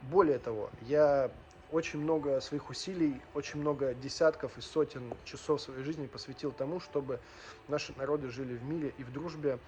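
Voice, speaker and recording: A man's voice: mid-range voice, moderate pace, darkish tone, slight background noise. A man in his thirties from Ukraine and Russia telling his personal story as a vlogger, emphatic and earnest, repeating phrases for weight. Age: 30s.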